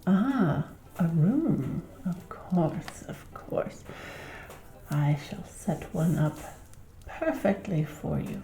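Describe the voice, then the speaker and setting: A woman playing a gracious, courteous innkeeper in a soft-spoken fantasy ASMR roleplay, with formal, unhurried phrasing. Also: fairly low pitch, sing-song, exaggerated voice. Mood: gentle, attentive. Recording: roomy, echoing sound.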